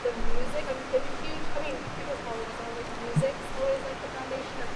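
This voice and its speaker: A woman's voice: sits high, fast talker. A young woman from Los Angeles on a dance panel, answering candidly in loose, rambling talk full of fillers in front of a live audience. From Los Angeles, USA. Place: room before a live audience. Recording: echoey room with steady hiss.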